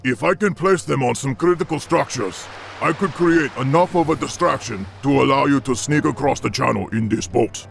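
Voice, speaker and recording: Casting: male actor as sabotage-minded scout ally, fantasy game cutscene, mid register, gravelly fry. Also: mid-range voice, moderate pace, soft background music, lightly acted. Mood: intent. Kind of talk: video game cutscene acting.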